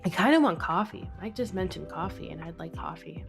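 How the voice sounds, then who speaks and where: A woman's voice: on the low side, lively intonation, brisk, vocal fry. A woman streamer talks casually while she draws, laughing often and cursing without a second thought. She is a touch sniffly from a head cold. Faint music plays behind her. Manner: chatty, laughing, swears freely. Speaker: live stream host. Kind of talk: casual chat while drawing.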